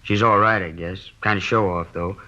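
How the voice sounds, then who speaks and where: An American actor playing a chatty neighborhood grocer in an old radio drama, folksy, rambling and hedging. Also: male voice, sits low, fairly even intonation, moderate pace, bright, forceful tone. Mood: folksy, candid. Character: chatty, gossipy but discreet male neighborhood grocer. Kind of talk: 1950s radio drama acting. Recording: dull old radio recording.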